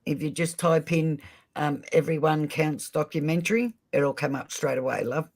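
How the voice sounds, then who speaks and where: A man with a husky, gravelly voice that sits a little high hosts a casual livestream. He talks straight to his viewers in a friendly way. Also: moderate pace. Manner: friendly, addresses viewers directly. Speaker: livestream host. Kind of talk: casual live chat.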